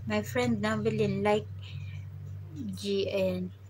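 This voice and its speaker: A Filipino woman with a Filipino accent hosts a live stream, talking in an unhurried, drawn-out way. Her voice sits at an ordinary middle pitch, and she chats playfully, slipping Tagalog into her English. A faint hiss of noise runs underneath. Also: level tone, darkish tone, low-quality recording. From Philippines. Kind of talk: casual live-stream chat. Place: abroad, working in the Gulf.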